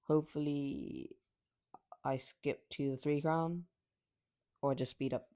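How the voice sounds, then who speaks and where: Guy narrating his mobile game, cocky and a bit raspy from staying up late, rambles and trash talks. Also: fairly high pitch, fairly even intonation, takes it slowly, mellow. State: slightly raspy from filming late at night. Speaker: gameplay commentator. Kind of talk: casual mobile game commentary.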